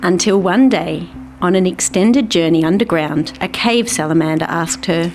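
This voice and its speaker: A woman's voice: on the low side, moderate pace, soft background music, low-quality recording. A woman narrating a scripted children's story as its storyteller. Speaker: author and storyteller.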